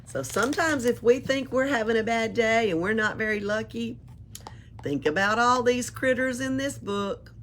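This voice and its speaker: A woman reading a children's storybook aloud in an expressive, playful way, adding her own asides. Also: a little high, moderate pace, slight background noise. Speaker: story reader at a library. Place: library.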